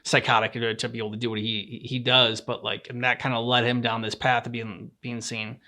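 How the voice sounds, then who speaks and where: A man's voice: mid-range voice, little pitch movement, sharp tone. Man thinking aloud in casual chat. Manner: halting, loosely worded, thinking aloud. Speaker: co-host of a game reaction show.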